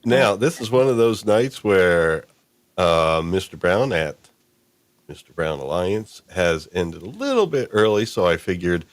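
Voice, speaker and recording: A man's voice: low-pitched, very animated, measured pace, no echo. A man hosting a casual, improvised livestream chat in a playful, rambling way. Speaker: show host.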